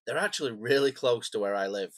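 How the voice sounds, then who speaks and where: A man, croaky and phlegmy from a cold, chatting casually and rambling through a stationery unboxing at his desk. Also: medium pitch, quick pace, no echo, studio quality. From England. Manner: rambling, self-deprecating, chatty asides. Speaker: stationery vlogger. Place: home desk, indoors.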